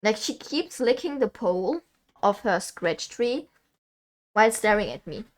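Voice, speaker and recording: A woman's voice: mid-range voice, expressive, a little slow. A young woman from Germany, chatting casually and laughing often while streaming a game.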